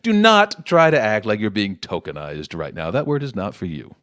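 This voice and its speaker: A man's voice: on the low side, sing-song, normal speed, a bit bright, dry recording, studio quality. An actor playing a middle-aged politician father in an audio play, persuasive and defensive, talking down to his grown daughter. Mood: condescending. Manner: condescending, self-justifying.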